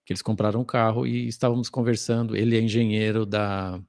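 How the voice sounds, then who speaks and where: A man, co-hosting the podcast and telling an anecdote in a relaxed, conversational way. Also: fairly low pitch, fast talker, no echo, studio quality. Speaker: podcast co-host. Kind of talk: conversational storytelling.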